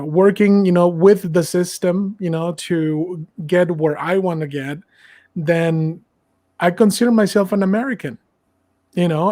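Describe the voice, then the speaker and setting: A podcast host, a man, asking a long halting question full of fillers and restarts. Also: fairly high pitch, darkish tone, dry recording.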